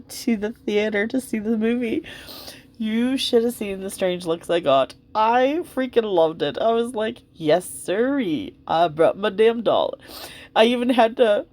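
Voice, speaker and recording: A woman vlogger talks in a casual, chatty way, as if thinking aloud while busy with her hobby. Her pitch sits in the middle range for a woman. She rambles informally and is openly effusive. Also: a little mellow. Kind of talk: casual, chatty commentary while dressing a doll.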